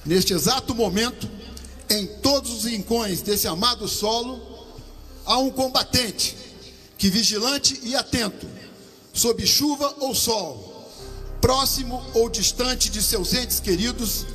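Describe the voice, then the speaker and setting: A man with a Brazilian accent giving a slow, solemn ceremonial speech as an army commander at an outdoor podium microphone. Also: very high voice, bright, forceful tone, in a large hall, soft background music. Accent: Brazilian Portuguese. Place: outdoor parade-ground stand.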